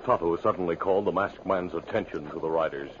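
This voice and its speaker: Deep-voiced man narrating old radio drama. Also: fast talker, noisy background. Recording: muffled old radio broadcast. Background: music.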